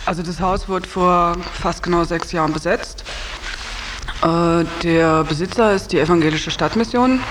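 Male interviewee, high voice, unscripted explanation, clearly noisy location recording. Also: moderate pace. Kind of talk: spontaneous explanation.

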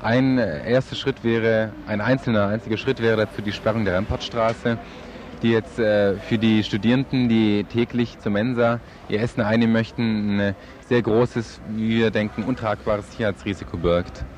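A young man giving spontaneous, halting answers as a student activist in an interview. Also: on the low side, steady tone, a little mellow, slight background noise. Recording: dull lo-fi outdoor field recording.

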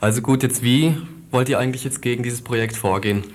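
A man's voice: medium pitch, fast. A man conducting an informal radio interview, asking probing questions in a conversational, attentive way.

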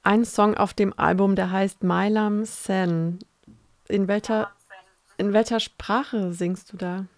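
A woman's voice: mid-range voice, fairly even intonation, slow, no room sound. Woman interviewer with a dry, close sound. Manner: conversational, friendly questions. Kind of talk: casual interview questions.

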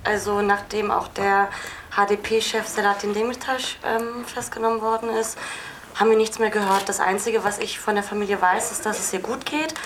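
A woman with a medium-pitched voice answers questions as a film director at a live audience talk. She explains things spontaneously, with hesitant pauses. There is faint noise and venue ambience on the recording. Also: level tone. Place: film festival screening venue. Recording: noisy live event recording.

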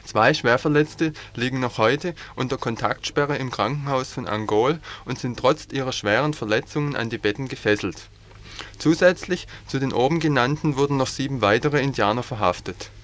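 A man's voice: mid-range voice, a bit bright. Earnest man reading radio news. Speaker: news reader. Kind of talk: read news bulletin. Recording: 1980s community radio broadcast.

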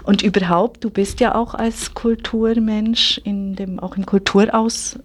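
A woman's voice: mid-range voice, steady tone, measured pace. A woman interviewing in a casual political discussion, informal and rambling as she circles toward her questions. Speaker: radio magazine interviewer.

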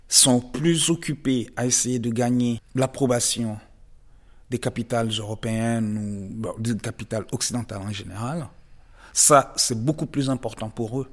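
Thoughtful man. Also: medium pitch. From DR Congo. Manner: measured and thoughtful, pausing often, rising to emphatic stresses. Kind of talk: reflective interview answers.

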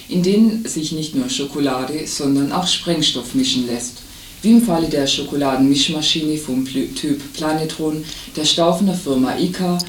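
A man's voice: on the high side, slight background noise, rough recording. A man reads a scripted magazine report as a radio presenter.

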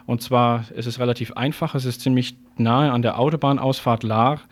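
A man's voice: medium pitch, fairly even intonation, moderate pace. A man hosting a radio news programme, interviewing and announcing in an informal, improvised way.

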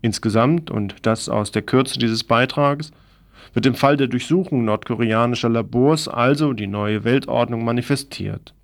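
A man with a gravelly, creaky voice at a middling pitch delivers a scripted news commentary. His phrasing is pointed and opinionated, like a radio commentator setting out a political argument. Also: normal speed.

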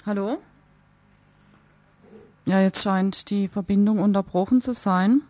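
A woman hosting a live radio interview unscripted, hesitant and pausing as she improvises. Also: mid-range voice, warm tone.

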